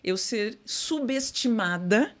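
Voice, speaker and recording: A middle-aged woman, a guest speaking thoughtfully in an interview, often pausing and restarting with "né". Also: a little high, animated. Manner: thoughtful, many fillers and restarts, says "né". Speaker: guest executive. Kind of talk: conversational interview.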